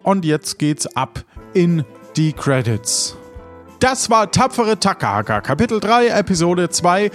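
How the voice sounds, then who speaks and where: A man's voice: high-pitched, big pitch swings, unhurried, faint music behind. A man hosting an improvised audio play podcast in a casual, chatty style. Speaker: podcast host and game leader. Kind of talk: casual podcast chat and credits.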